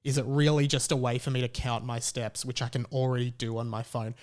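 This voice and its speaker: A man giving a casual opinion monologue like a podcast host, conversational and halting, with emphatic stresses on key words. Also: medium pitch, level tone.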